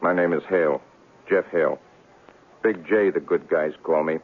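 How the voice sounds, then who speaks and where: Hard-boiled noir detective played by a man, low voice, cynical and clipped, muffled old radio broadcast. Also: steady tone, moderate pace, a little hiss or hum, natural voice. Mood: hard-boiled, narrating. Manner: clipped slangy tough-guy talk. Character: tough hard-boiled male private investigator, cynical and cocky. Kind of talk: 1970s radio drama acting, noir narration.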